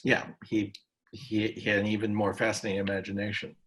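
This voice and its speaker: A man hosting a conversational interview, speaking in halting short phrases with pauses between them. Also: lowish voice, monotone, a bit bright. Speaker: interviewer, session host.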